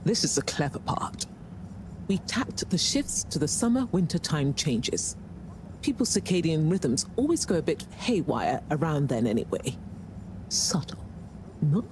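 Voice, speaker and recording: Haughty female executive, lowish voice. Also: sing-song, unhurried, background noise. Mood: smug. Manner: haughty, smug, dry. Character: imperious, haughty female ship CEO, middle-aged. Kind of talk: scripted video game dialogue.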